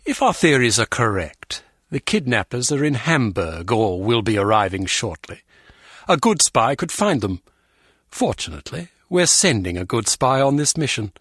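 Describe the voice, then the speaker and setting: An actor playing a pompous intelligence superior in a video game, condescending and sarcastic, with formal phrasing. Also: male voice, medium pitch, very animated, moderate pace, a bit bright, slightly rough, no room sound, clean studio sound. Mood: scornful.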